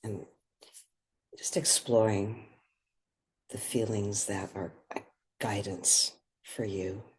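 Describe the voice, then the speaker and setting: Slow, breathy meditation guide. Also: deep voice, steady tone, rough recording. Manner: soothing, gentle, unhurried with pauses.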